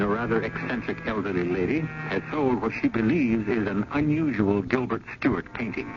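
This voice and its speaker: Male host-narrator for vintage radio mystery, creaky voice, scripted storytelling, muffled noisy broadcast. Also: on the low side.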